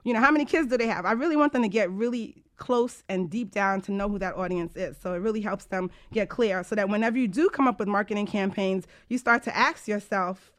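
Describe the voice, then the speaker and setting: A woman's voice: mid-range voice, brisk. A woman hosting a casual talk radio show as a coach, chatty, informal and emphatic.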